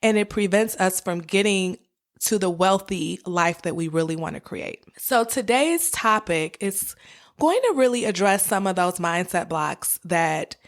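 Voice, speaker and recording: A woman hosting a podcast as a conversational, encouraging coach, her voice a little raspy from a cold. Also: lowish voice, expressive, unhurried. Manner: encouraging, direct address to listeners. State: has a cold with cough and sore throat. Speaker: podcast host. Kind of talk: personal finance podcast monologue, conversational coaching.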